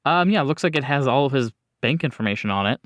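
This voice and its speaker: A man acting as game master, improvising horror narration in a conversational way with pauses and bursts. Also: mid-range voice, animated, a bit bright, dry recording.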